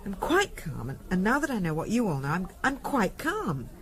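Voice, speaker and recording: A woman playing an anxious but determined middle-class English wife in a 1970s radio drama, halting and pleading as she repeats her words. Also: medium pitch, huge pitch swings, some chatter behind.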